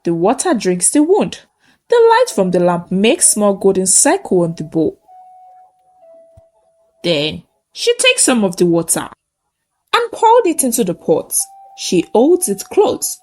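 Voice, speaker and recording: Woman storyteller with very animated, swooping pitch. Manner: dramatic, short measured phrases. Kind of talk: scripted folktale narration.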